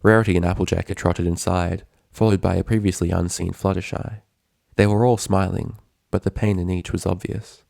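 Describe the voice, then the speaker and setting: A man's voice: low-pitched, little pitch movement, normal speed, gravelly, darkish tone, no room sound, clean studio sound. A man reading a story aloud as a narrator, in scripted literary prose. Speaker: fan fiction narrator.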